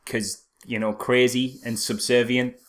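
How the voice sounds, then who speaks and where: A man's voice: mid-range voice, level tone, measured pace. Sarcastic man in casual film chat. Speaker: podcast co-host.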